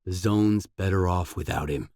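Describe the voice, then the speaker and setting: An actor playing a determined, brooding stalker in a grim video game, with a measured, serious, understated delivery. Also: male voice, darkish tone, no echo, clean studio sound. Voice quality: low, husky. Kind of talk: game voice acting, isolated dialogue lines.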